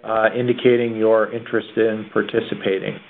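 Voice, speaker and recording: A man moderating an informal conference call over the telephone, speaking in a halting way. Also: on the low side, flat delivery, creaky, slight background noise. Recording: muffled telephone line.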